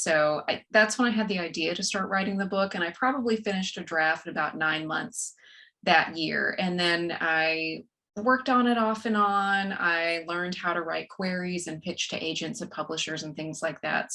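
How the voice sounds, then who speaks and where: A woman's voice: deep voice, pushed and bright. A woman in her forties with a Texan accent, a guest author chatting in a friendly, matter-of-fact way. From West Texas, USA. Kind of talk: casual interview chat.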